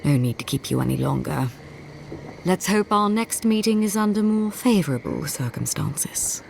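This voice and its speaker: A woman playing a smug, zealous inquisitor's agent in a fantasy game, speaking in a measured, formal and condescending way with courteous menace. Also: on the low side, animated, gravelly, darkish tone, slight background noise. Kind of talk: scripted fantasy game dialogue.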